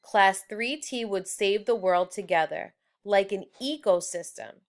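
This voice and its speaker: Woman, storybook reader, children's read-aloud narration, mid-range pitch. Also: measured pace.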